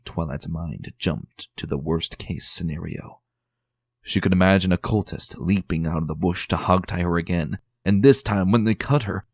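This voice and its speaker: A man giving a dramatic, expressive reading of a fan story as narrator. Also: low voice, husky, no room sound. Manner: expressive, tense and theatrical. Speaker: fan reader.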